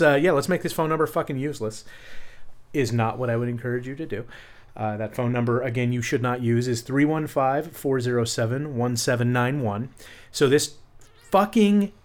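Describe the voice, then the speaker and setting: A man co-hosting a podcast in casual chat, talking in a sarcastic, profane, ranting way. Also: mid-range voice. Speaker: podcast co-host.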